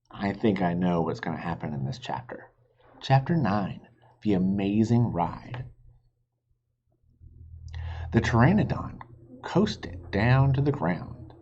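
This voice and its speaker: A man reading a children's book aloud as a teacher, expressive and pausing to ask listeners questions. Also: on the low side, a little hiss or hum. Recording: dull lo-fi recording.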